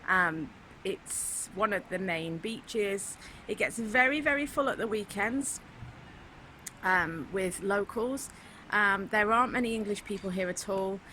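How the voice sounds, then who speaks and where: A woman's voice: mid-range voice, unhurried, sharp tone, poor audio. A woman from the United Kingdom giving a casual walking tour of a city to a handheld camera, chatty and full of ums. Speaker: travel vlogger. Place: outdoors in a city, beach and streets. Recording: outdoor street noise with traffic.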